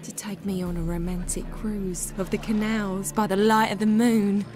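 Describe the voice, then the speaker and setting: A woman's voice: mid-range voice, level tone, normal speed, music in the background, close to an everyday voice. A woman with a British accent playing a naive, chatty, lovestruck young maidservant in a video game. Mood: dreamy, eager. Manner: chatty, wide-eyed, rustic grammar.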